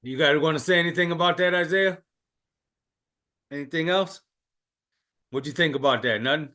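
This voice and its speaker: A man's voice: a little high, moderate pace, sharp tone. A man speaking casually and putting questions to others in conversation.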